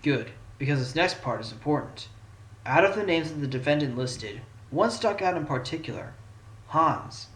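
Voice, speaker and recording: A man's voice: on the high side, animated, moderate pace, a bit bright, roomy sound, rough recording. A man playing a determined, sly fox defense attorney in a fan audio drama, speaking emphatically and theatrically. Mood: confident. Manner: theatrical, emphatic, bursts into objections.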